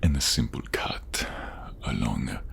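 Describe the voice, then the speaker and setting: A man's voice: lively intonation, a bit bright, in a whisper, exaggerated voice. A man voicing a menacing, inhuman grand inquisitor with a hunger for blood, commanding and savoring his words in a fantasy audio role-play. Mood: imperious, menacing. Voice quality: very deep, gravelly, breathy. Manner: slow, savoring, commanding, condescending.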